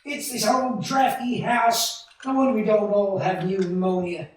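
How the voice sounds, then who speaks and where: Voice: medium pitch, unhurried, natural voice. A short-tempered husband and father at breaking point, played by an actor in a vintage-style radio drama. The voice is heard off mic in a heavily echoing space. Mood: bitter, irritable. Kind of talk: 1940s-style radio play acting. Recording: very echoey room, distant from microphone.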